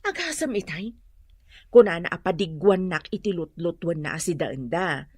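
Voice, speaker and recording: An actress playing a nagging, fretful wife from a poor household in a radio drama, with an Ilocano accent. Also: female voice, lowish voice, lively intonation, moderate pace, a little mellow, slightly rough, dry recording. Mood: indignant, fretful.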